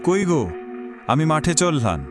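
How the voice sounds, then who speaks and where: A man voicing a village father who gives brief, hurried replies on his way to the fields. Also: a little high, very animated, over music.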